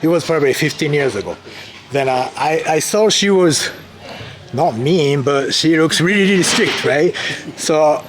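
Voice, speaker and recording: A man from Japan with a Japanese accent talks informally as a trainer, haltingly repeating phrases as he explains things. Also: a little high, expressive. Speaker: fitness trainer and gym co-owner. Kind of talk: informal exercise demonstration and chat. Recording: busy crowd noise behind.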